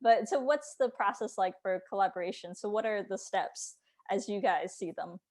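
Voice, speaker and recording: A woman's voice: mid-range voice, normal speed, darkish tone. A woman interviewer hosting a casual conversation about books over a video call. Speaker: interviewer and publishing host.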